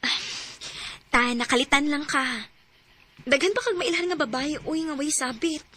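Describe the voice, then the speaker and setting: A woman's voice: high voice, moderate pace, bright, forceful tone. A Cebuano Filipino woman acting a lonely, hopeful separated mother in an audio drama, both in dialogue and first-person narration. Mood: surprised, hesitant.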